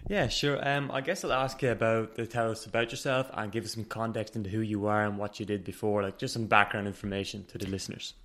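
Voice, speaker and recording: A man hosting a podcast, reading a steady upbeat introduction and then chatting with his guest. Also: lowish voice, quick pace, hardly any pauses, no room sound. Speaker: podcast host. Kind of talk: scripted intro, then casual interview.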